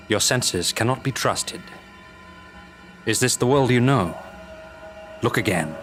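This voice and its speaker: A man playing an eerie narrator, measured and theatrical, introducing an audio drama. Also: on the low side, a bit bright. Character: grave, mysterious male storyteller of eerie tales. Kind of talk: ominous scripted narration.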